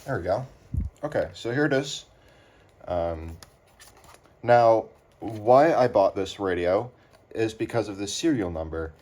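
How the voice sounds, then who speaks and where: Slow, low-voiced man unboxing gadgets. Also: lively intonation, darkish tone. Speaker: hobbyist unboxing weather radios. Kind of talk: casual unscripted unboxing commentary. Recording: clear background noise, handling clicks.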